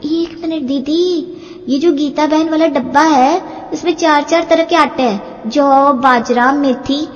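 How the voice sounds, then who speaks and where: A woman's voice: high-pitched, takes it slowly, dull audio. A woman voicing a feisty young daughter-in-law who complains with wounded pride in a moral-story cartoon. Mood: astonished. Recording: long hall-like reverb.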